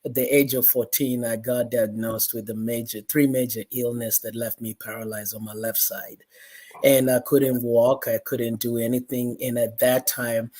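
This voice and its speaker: Earnest man, fairly even intonation. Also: mid-range voice, moderate pace. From Kenya. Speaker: guest, pastor and life coach. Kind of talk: earnest personal testimony in an interview.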